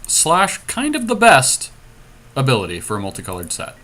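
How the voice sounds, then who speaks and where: A man's voice: mid-range voice, wildly swooping pitch, moderate pace, bright, forceful tone. A man co-hosting a podcast, casually commentating on a card game in informal language. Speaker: podcast co-host.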